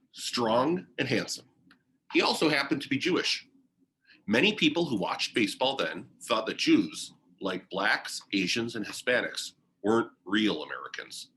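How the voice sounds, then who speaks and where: A man reading a children's biography aloud in deliberate, short phrases with pauses, like a teacher sharing a picture book. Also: mid-range voice, a bit bright, rough recording.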